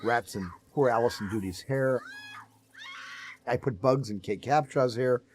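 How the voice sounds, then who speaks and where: A man's voice: medium pitch, a little slow, a little hiss or hum. A filmmaker in an interview, wry and self-mocking as he tells stories from the set. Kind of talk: interview anecdote.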